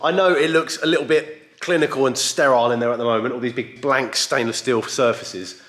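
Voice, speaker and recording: A man vlogging casually from his workshop, joking and self-deprecating, with a croaky voice. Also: mid-range voice, fairly even intonation, brisk, over music. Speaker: vlogger building a coffee van. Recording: echoey workshop.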